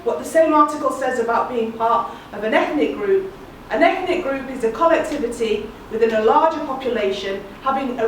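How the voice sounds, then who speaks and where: A woman, giving an earnest, measured spoken-word monologue. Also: a little high, slight background noise. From England. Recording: very echoey room, distant mic.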